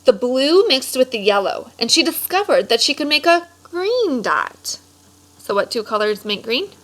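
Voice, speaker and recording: A woman's voice: sits high, very animated, normal speed, a bit bright. A woman teacher reading aloud and explaining to children, instructive and checking in with questions.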